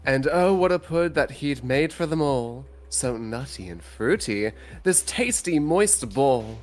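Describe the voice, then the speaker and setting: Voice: medium pitch, expressive, unhurried, a little mellow. A theatrical, mock-pedantic speaker mixing dramatic reading aloud with joking banter.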